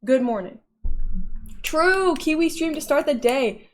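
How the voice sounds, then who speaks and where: Casting: woman streamer, fairly high voice, chatty and playful, casual talk with her audience. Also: animated, takes it slowly. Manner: chatty, playful, often laughing. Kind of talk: casual livestream chat reading viewer messages.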